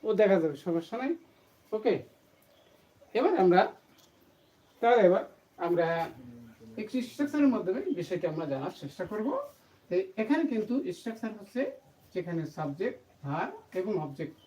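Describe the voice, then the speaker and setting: A woman's voice: medium pitch, expressive, brisk, long pauses, dark tone. A woman teaching grammar to camera, explaining emphatically and repetitively, asking questions and answering them herself. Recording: distant mic, faint background noise.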